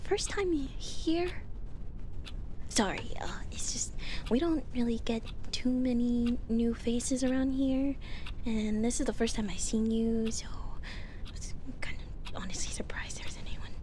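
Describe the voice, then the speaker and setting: A woman's voice: fairly high pitch, background noise. A woman playing a shy, apologetic young librarian in a whispered ASMR roleplay, hesitant and stammering, close on the microphone.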